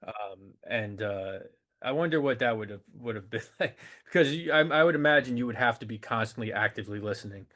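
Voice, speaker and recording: A man's voice: mid-range voice, lively intonation, a little fast, fairly bright tone. A man hosting an interview, musing aloud in a friendly way before asking his questions. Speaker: interview host. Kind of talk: casual interview conversation.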